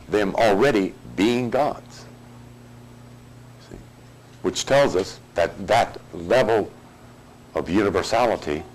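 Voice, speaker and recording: A man teaching spiritual ideas in an informal lecture, with deliberate, halting phrasing and colloquial asides. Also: low-pitched, low-quality recording.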